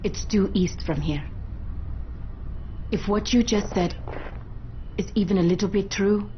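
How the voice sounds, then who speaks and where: A woman's voice: mid-range voice, unhurried, dull audio, a little hiss or hum, lightly acted. An actress playing a composed, commanding female survivor leader in a video game. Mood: composed, urgent. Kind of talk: video game cutscene acting.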